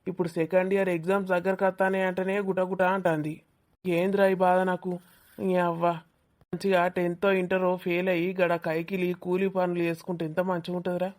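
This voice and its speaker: A woman's voice: fairly low pitch, level tone, fast, darkish tone, close to an everyday voice. Cartoon comedy role: irritable, worried young male student with Telangana dialect, grumbling to a friend female performer. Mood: anxious, complaining. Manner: complaining, colloquial bickering. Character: grumpy lovelorn young male student, anxious and easily irritated. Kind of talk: village comedy cartoon dialogue.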